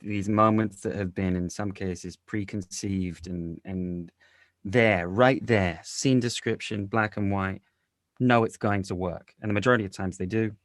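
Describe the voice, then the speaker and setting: A man with a fairly low voice reflects conversationally in a dry-sounding recording. He rambles and halts, doubling back on his words as he goes. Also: steady tone, dry recording. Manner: halting, repeats words, rambling. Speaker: interview guest.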